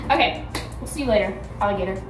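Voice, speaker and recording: An eighteen-year-old woman vlogging into a phone held at arm's length, chatting casually with a sarcastic, deadpan edge. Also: on the high side. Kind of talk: casual vlog chat. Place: car, then small hotel room.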